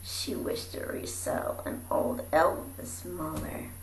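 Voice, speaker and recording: A woman reading a rhyming picture book aloud in a theatrical, animated way, moving between hushed and projected delivery. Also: on the low side, slow. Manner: theatrical, dropping into breathy whispers and calling out. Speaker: amateur storybook reader. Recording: roomy echo with faint background noise.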